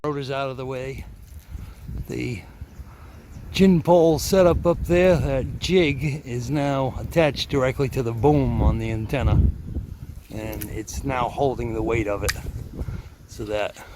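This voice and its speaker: A man with a mid-pitched voice narrates casually as he works outdoors. His commentary is plain and off the cuff, like a home vlog. It is picked up on a handheld camera with faint ambient noise around him. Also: steady tone, unhurried, pauses often, darkish tone. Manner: chuckles at his own remarks. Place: outdoors at the foot of an antenna tower.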